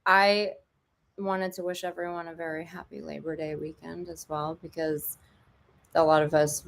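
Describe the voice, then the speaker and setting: A woman hosting a casual podcast, talking in a low voice. She chats freely and jokes along, filling pauses with ums. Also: a little hiss or hum. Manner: chatty, filler-heavy, jokey. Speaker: podcast host.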